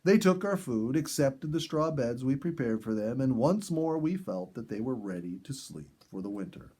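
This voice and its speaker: Husky man telling a story. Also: medium pitch. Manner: animated, dramatic pauses and emphasis. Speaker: audiobook reader and story host. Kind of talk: expressive storytelling narration of an animal memoir.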